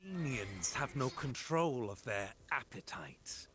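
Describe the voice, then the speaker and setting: An actor playing a ruthless, humourless Spartan warrior in a dramatic video game style, terse and menacing. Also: male voice, mid-range voice, sing-song, unhurried, a little hiss or hum. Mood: cold, vengeful. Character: grim, ruthless, friendless Spartan warrior admiral. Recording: very loud music behind.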